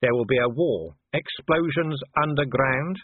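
A man playing a shrewd, sardonic city commander in an audiobook, smooth and needling in manner. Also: medium pitch, measured pace, no echo, narrow, tinny audio, pitched above the performer's own voice. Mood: sly. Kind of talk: audiobook character voice.

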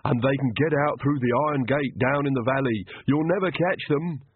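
A man voicing a gruff male castle servant who speaks slowly and tearfully. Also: mid-range voice, pitched above the performer's own voice, natural voice. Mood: glum. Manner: slow, sobbing, gruff. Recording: tinny phone-like dry sound.

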